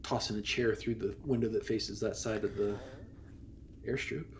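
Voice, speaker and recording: A man's voice: on the low side, steady tone, normal speed, a little hiss or hum. A man roleplaying a crew member in a tabletop game, reporting to his captain. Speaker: player as a crew member on comms.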